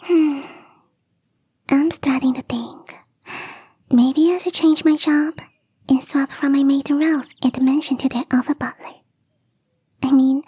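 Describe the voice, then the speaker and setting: High-pitched, whispery teasing catgirl played by a woman. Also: mellow, no echo, close to an everyday voice. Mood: teasing, smug, then playful sarcasm. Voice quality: breathy, whispery. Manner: teasing, coy, unhurried. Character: young female catgirl gardener, teasing, playfully smug. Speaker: voice actress. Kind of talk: ASMR roleplay, whispery soft speech.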